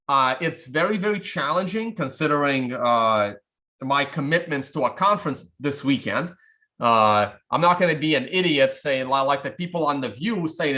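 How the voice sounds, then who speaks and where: Man, somewhat high-pitched, livestream host, unscripted commentary, halting, rambling, frequent tag questions. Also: pushed and bright. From Soviet Union, now Russia; immigrated to America. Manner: halting, rambling, often punctuated with "okay?" and "right?".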